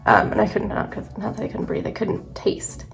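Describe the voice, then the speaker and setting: A woman's voice: normal speed, soft background music. A young woman vlogger chatting casually through a makeup tutorial, sounding a little congested from allergies. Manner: chatty, rambling, self-correcting. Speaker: beauty vlogger.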